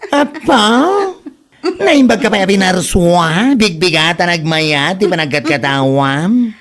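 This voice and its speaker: Woman acting a low-voiced, drawling village friend. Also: expressive, a little slow, a crowd in the background. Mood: amused, dismissive. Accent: Ilocano. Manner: exaggerated questioning interjections. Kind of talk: Ilocano comedy radio drama acting.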